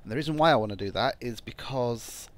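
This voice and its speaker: Croaky man thinking aloud over gameplay. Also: medium pitch. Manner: thinking aloud, halting with many ums. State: croaky, phlegmy from a cold. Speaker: gameplay channel host. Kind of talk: casual let's-play commentary.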